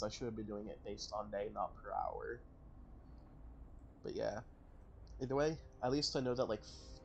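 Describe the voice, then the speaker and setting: A man's voice: medium pitch, slight background noise. A man casually commenting on his strategy game, thinking aloud and correcting himself as he goes. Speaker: gaming channel host. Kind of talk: casual let's-play commentary.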